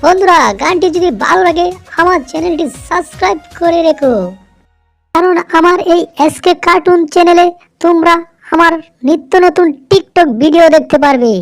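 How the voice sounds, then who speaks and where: Very high squeaky young vixen. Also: female voice, steady tone, normal speed, creaky, faint music behind. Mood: showy, excited. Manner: showy, gushing. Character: vain young female fox obsessed with TikTok. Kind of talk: cartoon voice acting.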